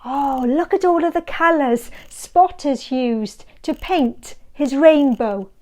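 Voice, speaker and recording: A woman reading a picture book aloud to small children, sing-song and inviting, drawing the listener in with questions and hushed counting. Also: high-pitched, slow. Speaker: storybook reader.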